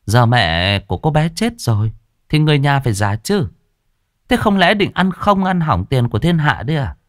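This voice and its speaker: A man's voice: medium pitch, sing-song, moderate pace, a bit bright, dry recording, clean studio sound, voice pushed up. A man voicing a cold female loan-shark boss in a story, condescending, taunting and mock-sweet with veiled menace. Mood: cold condescension.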